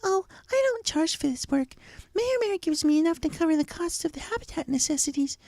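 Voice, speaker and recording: Storybook pony played by a man: timid young mare, extremely high, mellow, sweet and soft-spoken, close dry sound. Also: lively intonation, a little fast, creaky, no echo, full character voice. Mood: gentle, reassuring. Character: shy gentle young female pegasus pony.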